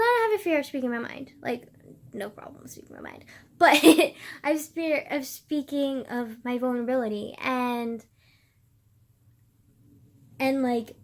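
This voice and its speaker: A young woman vlogger with a soft voice, talking in a rambling, hesitant way full of filler words. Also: high-pitched. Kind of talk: personal reflective vlog monologue.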